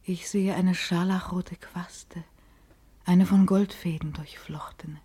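An actress playing a sensitive, intense female character in a poetic radio play, with a breathy, dark voice. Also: on the low side, steady tone, takes it slowly. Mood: wondering.

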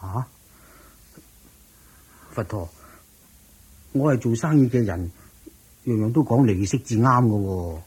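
An actor playing a thrifty, earnest, somewhat naive rich merchant in a radio drama, questioning and hesitant, with a Hong Kong Cantonese accent. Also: male voice, mid-range voice, expressive, takes it slowly, pauses often, a little mellow, breathy edge, exaggerated voice, low-quality recording. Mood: doubtful, puzzled.

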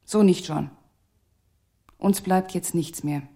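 A doomed female puppet from a radio drama. Her voice sits low with a creaky grain, sometimes sinking to a soft breath. She speaks gravely and with care, as if confessing. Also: slow. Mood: resigned. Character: female ventriloquist's puppet, solemn, truth-telling, doomed. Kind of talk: German radio play acting.

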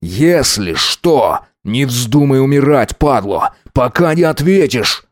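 A man's voice: mid-range voice, normal speed, darkish tone, no echo, clean studio sound, lightly acted. A man voicing a callous, irritable lieutenant colonel who hisses and barks his words in a military horror story. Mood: angry. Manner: barking orders, hissing, irritable. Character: harsh, callous, commanding male army lieutenant colonel.

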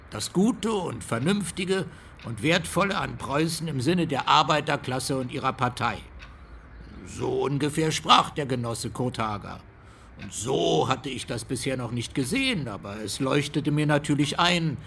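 An older East German academic in a radio play, looking back with smug self-justification. His voice is fairly high for a man and gravelly with creak, and he talks in measured, conversational phrases. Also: fairly bright tone, a little hiss or hum. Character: older East German Marxist historian, self-satisfied, reminiscing. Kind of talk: radio drama monologue.

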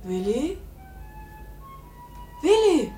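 An actress playing the anxious, devoted middle-aged wife of a salesman in vintage radio drama, gentle and coaxing. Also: sits high, sing-song, extremely slow, faint music behind, heavily acted. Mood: worried. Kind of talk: 1950s German radio drama acting.

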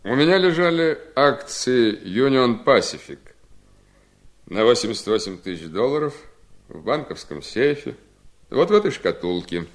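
An actor playing a bitter, resolute husband in a Soviet seventies radio drama, speaking in a deliberate, ironic, emphatic way. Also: male voice, medium pitch, big pitch swings, close to an everyday voice. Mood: grave, insistent. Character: bitter, resolute husband coolly punishing his scheming wife.

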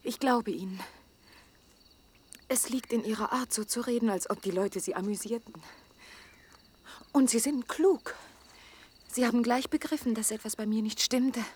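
Breathy, pleading young woman. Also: on the high side, normal speed, long pauses, darkish tone. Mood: shy, confiding. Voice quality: breathy, often dropping toward a whisper. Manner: urgent, imploring, emotionally open. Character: lonely twenty-year-old woman, hurt, pleading yet proud. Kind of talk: intimate German radio drama acting.

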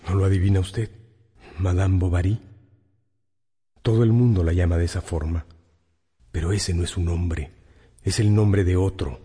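An actor playing an ardent, insistent seducer in a radio drama, pleading with courtly, theatrical flourish. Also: male voice, sits low, fairly even intonation, moderate pace, pauses often, mellow, slightly rough, in a large hall. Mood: feigned reluctance. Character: ardent, insistent male seducer wooing a married woman.

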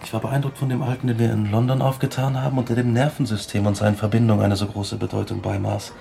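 Brooding, gravelly young scientist. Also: male voice, lowish voice, level tone, quick pace, dark tone. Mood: earnest, driven. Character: tormented, guilt-ridden young scientist recounting his downfall. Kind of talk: German radio drama acting, narration and dialogue.

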